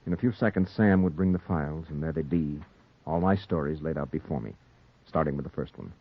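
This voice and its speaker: An actor playing a haunted newspaper reporter with a secret killing, in a brooding 1940s noir radio drama. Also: male voice, very deep voice, breathy edge, full character voice. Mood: brooding, ominous. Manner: brooding, deliberate first-person storytelling. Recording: muffled 1940s radio recording, music behind.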